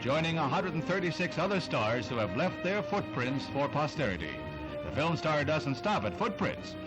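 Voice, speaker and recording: A man's voice: a little high, rough recording. A man narrating a 1960s newsreel in a formal, declamatory announcer's delivery, reading from a script. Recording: vintage newsreel soundtrack with music.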